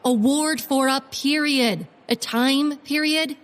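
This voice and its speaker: A woman playing a brisk, dry-witted amateur sleuth in a comedic audio drama, inquisitive in manner. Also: high-pitched, long reverb tail. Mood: excited deduction.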